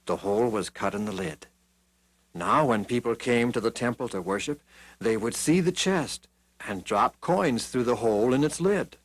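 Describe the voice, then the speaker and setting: A man narrating scripted Bible stories for children in an expressive, deliberate storytelling manner. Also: medium pitch, dry recording. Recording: music behind the reading. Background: background music.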